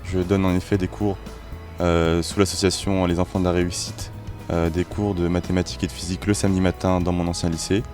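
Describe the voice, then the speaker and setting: A man in his twenties, a business school student answering an interview in a halting way with many fillers. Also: deep voice, little pitch movement, darkish tone, soft background music. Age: 20s.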